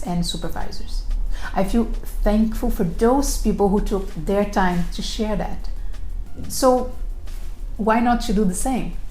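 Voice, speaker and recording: A middle-aged woman with a non-native English accent, presenting a prepared video introduction in careful, deliberate phrasing. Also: mid-range voice, warm tone. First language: not English. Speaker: recruiter presenting a video series. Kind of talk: prepared self-introduction to camera. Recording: roomy echo, faint background noise.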